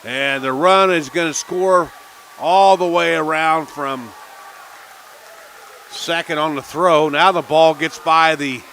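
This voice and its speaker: A man calling a minor league baseball game on local radio, chatting casually between pitches. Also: slight background noise, low-quality recording. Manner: relaxed folksy chatter between pitches, rising excitement on big plays. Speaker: play-by-play announcer.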